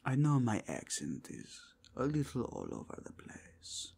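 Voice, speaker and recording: Guy doing a zealous werewolf inquisitor for asmr, husky n breathy, super polite, odd spanish-ish foreign accent, pitch swoops around. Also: wildly swooping pitch, darkish tone, exaggerated voice. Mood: courteous, probing. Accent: mixed foreign with Spanish touches. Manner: formal, courteous, deliberate, intense. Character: zealous, courtly werewolf inquisitor who sniffs out evil. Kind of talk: ASMR roleplay, breathy and half-whispered.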